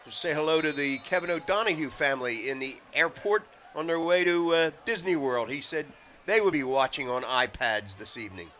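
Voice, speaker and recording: A man's voice: on the high side, lively intonation, a little fast, pushed and bright. A man doing live football play-by-play as the game announcer, in clipped phrases that build on big plays. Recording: noisy outdoor game with crowd behind. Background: crowd and game noise.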